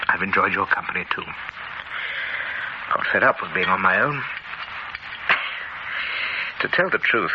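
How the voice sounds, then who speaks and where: An actor playing a confident businessman with a brisk, clipped manner and hearty asides. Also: low voice, vocal fry. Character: confident English businessman, genial boss. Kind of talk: television drama acting. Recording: muffled old TV audio with hiss.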